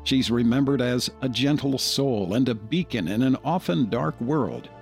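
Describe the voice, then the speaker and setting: A man's voice: medium pitch, animated, moderate pace, gravelly, soft background music. A man hosting a podcast, reading a scripted tribute in a narrator's style. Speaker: podcast host.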